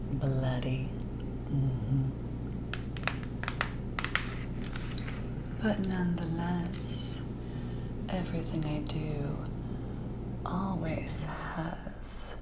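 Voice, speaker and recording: A sinister lady mad doctor played by a young woman whispers very slowly over a loud bed of background noise. She is menacingly sweet and teasing, in a breathy ASMR roleplay style. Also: sits low. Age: young adult. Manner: slow, deliberate, teasing. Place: living room at home. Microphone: close to the mic.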